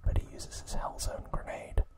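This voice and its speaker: A man hosting an ASMR recap, speaking in a soft, breathy, unvoiced whisper with a gentle delivery. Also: moderate pace. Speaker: ASMR host.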